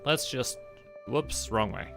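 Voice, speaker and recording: A man giving casual gameplay commentary, rambling and relaxed as he talks to himself. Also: mid-range voice, slow pace, faint music behind. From Canada. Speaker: streamer.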